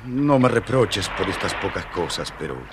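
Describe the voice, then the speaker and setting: An actor playing a generous merman of the sea in a children's storybook, speaking in a theatrical, drawn-out way. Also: low-pitched, sing-song. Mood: grateful, solemn. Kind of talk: dramatized children's audio storybook acting. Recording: noisy vintage storybook recording with effects.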